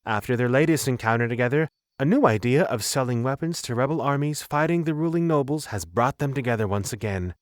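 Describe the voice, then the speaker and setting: A man's voice: medium pitch, animated, fairly quick, hardly any pauses, no echo. Dry-recorded male story narrator.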